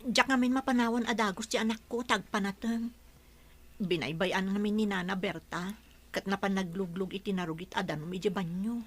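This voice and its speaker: A woman's voice: medium pitch, steady tone, a little fast, natural voice. A woman playing an anxious, long-suffering young mother in a radio drama, plaintive and earnest.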